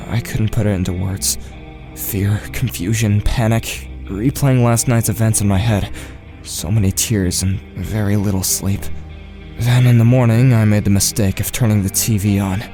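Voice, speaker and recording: Man acting a low-voiced, shaken teenage boy. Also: close to an everyday voice. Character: shaken, subdued teenage boy narrating his own story. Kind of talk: first-person audio drama narration and dialogue.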